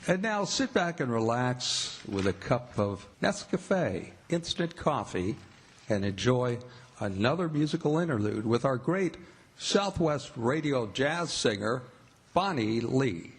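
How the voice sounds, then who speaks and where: A man's voice: medium pitch, sing-song, slow. A man announcing in the style of a vintage radio show, with a polished salesman's delivery.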